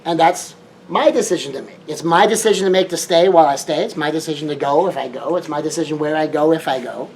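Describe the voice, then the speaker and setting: A man's voice: on the high side, quick pace, a little mellow, slight background noise, low-quality recording. A man from Southern California, a life coach, giving a motivational talk with repetitive, emphatic phrasing and shifting tempo.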